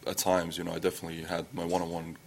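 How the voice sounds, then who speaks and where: A young man from Estonia with an Estonian accent, answering press questions in halting English full of fillers and restarts. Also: completely flat delivery, gravelly, slight background noise. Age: young adult. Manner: halting, many fillers and restarts, low-key. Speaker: athlete at a press conference.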